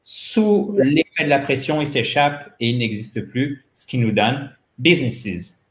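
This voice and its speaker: A man with a medium-pitched voice teaches pronunciation in an echoey room. He is a native French speaker and explains in a didactic way, turning directly to the learners as he goes. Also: a little slow, slight background noise. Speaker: English pronunciation teacher, host. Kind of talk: interactive language lesson, explanatory.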